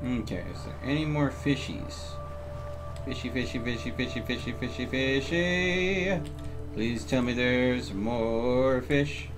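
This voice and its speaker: A man's voice: mid-range voice, slow pace. Man casually commentating his game over music. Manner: talks to himself and chats to viewers. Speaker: video game streamer.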